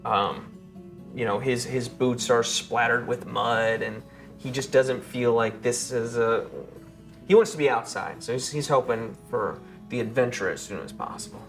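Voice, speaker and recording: A man's voice: mid-range voice, measured pace, a bit bright, soft background music. A man playing a tabletop game, casually improvising what his character does and feels.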